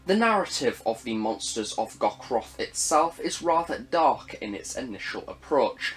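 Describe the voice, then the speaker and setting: A young man giving a scripted, narrated review of audio dramas in measured, formal phrasing with frequent emphasis. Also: mid-range voice, rough recording.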